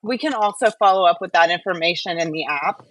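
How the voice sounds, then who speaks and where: A woman's voice: fairly low pitch, fast talker, no room sound, rough recording. A woman hosting a show in a casual, conversational chat style. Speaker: show host.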